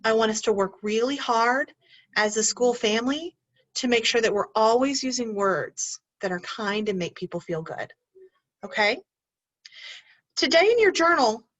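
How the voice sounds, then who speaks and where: A woman's voice: on the high side, moderate pace. A woman teacher reading a picture book aloud to children, stopping often to ask them questions. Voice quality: animated storyteller, asks children questions. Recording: dull lo-fi recording.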